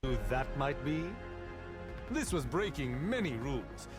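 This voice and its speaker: An actor playing a sly, playful narrator who tells a game's story in a dramatic, teasing way. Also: male voice, medium pitch, big pitch swings, measured pace. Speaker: narrator of a game story recap. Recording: music under the voice.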